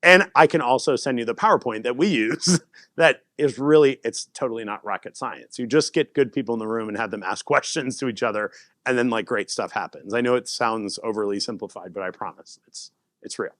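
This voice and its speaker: A man giving a casual conference talk and answering audience questions, animated and laughing often. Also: medium pitch, a little fast, no room sound, clean studio sound. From United States. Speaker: presenter.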